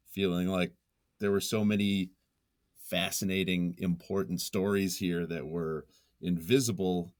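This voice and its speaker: A man being interviewed as a writer, speaking in a thoughtful, hesitant, self-effacing way in reflective conversation. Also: deep voice. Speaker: interview guest, nonfiction writer.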